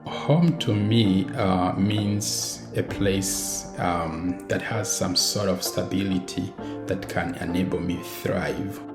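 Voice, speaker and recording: A man from Rwanda speaks in a very deep voice, measured and reflective, often pausing on "uh". His voice carries room echo, and music plays clearly underneath. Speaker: former refugee, runs refugee support initiatives. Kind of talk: recorded interview testimony. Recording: roomy recording with music underneath.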